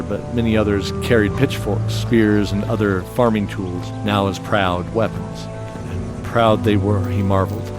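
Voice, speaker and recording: A man narrating a scripted fantasy story in a descriptive storytelling style. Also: fairly low pitch.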